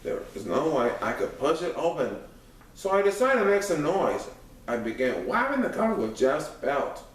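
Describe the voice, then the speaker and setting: A man narrates a story in the first person, reading from a script. His voice sits a little high and comes from some distance off the microphone, in a very echoey room. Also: sing-song, moderate pace. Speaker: audiobook narrator.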